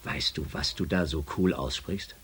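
A man playing a blunt, irritable space shuttle commander in a science fiction radio play, with colloquial speech and a husky voice. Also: a bass voice, normal speed, a bit bright, exaggerated voice. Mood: irritated, sceptical.

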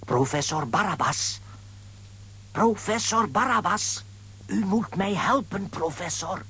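An actor in a children's radio play voicing a boastful, excitable grown comic hero who stammers and exclaims bombastically. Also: mid-range voice, slow, breathy edge, full character voice. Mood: urgent, hushed.

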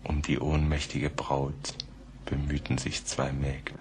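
An actor playing a grave, haunted man who slowly recounts a tragedy in a brooding radio play, sometimes near a whisper. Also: extremely low pitch. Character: grave, haunted male narrator recalling a tragedy. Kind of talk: German radio play narration, letter-like storytelling. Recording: faintly noisy old radio play recording.

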